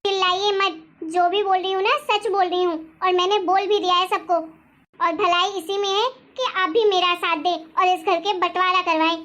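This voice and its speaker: A woman's voice: very high voice, steady tone, normal speed, a bit bright. A woman voicing an angry, scolding young wife who argues sarcastically in a family drama story. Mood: defiant, demanding. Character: angry, sharp-tongued young wife demanding her share. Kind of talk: acted dialogue in Hindi family story.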